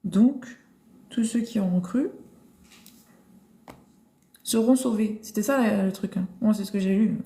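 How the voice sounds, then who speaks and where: Woman host, live-stream Bible chat, mellow tone, halting and searching, echoey room. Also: medium pitch, vocal fry. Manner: thinks aloud with many restarts and fillers. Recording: roomy echo.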